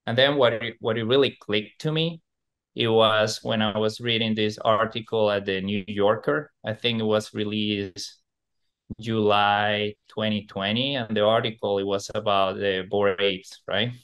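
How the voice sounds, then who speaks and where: A man's voice: fairly low pitch, steady tone, unhurried, fairly bright tone. A man from Venezuela, a podcast guest who rambles with lots of fillers and restarts. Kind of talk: casual podcast interview.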